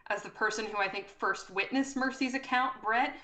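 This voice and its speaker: A woman running a tabletop game as game master, improvising narration and rulings in a deliberate way as she thinks aloud. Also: on the high side, pushed and bright. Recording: roomy echo.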